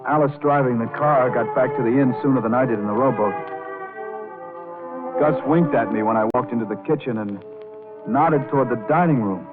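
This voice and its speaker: An actor voices a lovestruck young American man telling his story in a brooding noir radio style. He is intense and quick to anger. The sound is tinny, narrow old radio with music clearly behind. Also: mid-range voice, natural voice. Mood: brooding, intense narration. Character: lovestruck, hot-headed young male piano player. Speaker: actor, first-person narrator. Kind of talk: 1940s-50s radio drama acting, noir narration.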